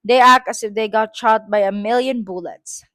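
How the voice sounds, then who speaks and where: A woman's voice: fairly high pitch, moderate pace, a bit bright, dry recording, studio quality. A woman with a Filipino accent reads her own school essay aloud, breaking off for chatty, self-correcting asides.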